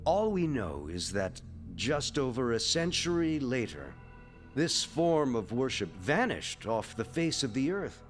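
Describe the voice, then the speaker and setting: Man voicing scholar of ancient writing, measured lecturing delivery, slow pace, animated intonation. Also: medium pitch, faint music behind. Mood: pensive. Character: learned male scholar of ancient scripts, pensive. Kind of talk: audio drama acting.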